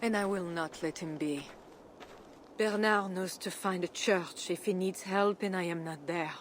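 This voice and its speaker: A woman playing a dignified, guarded and pious medieval Frankish queen in measured, formal video game dialogue. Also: on the low side, a little hiss or hum, lightly acted. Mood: earnest, resolute. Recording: music behind the voice.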